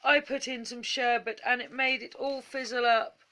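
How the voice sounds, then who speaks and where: A woman's voice: fairly high pitch, flat delivery, moderate pace, sharp tone, pitched above the performer's own voice, rough recording. Woman voicing a mischievous little pixie boy. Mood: sobbing. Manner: sobbing, wailing when caught.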